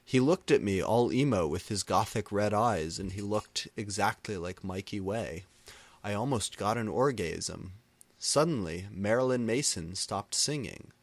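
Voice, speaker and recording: A man narrating comic fan fiction as a deadpan dramatic reading. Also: on the low side, unhurried, no room sound.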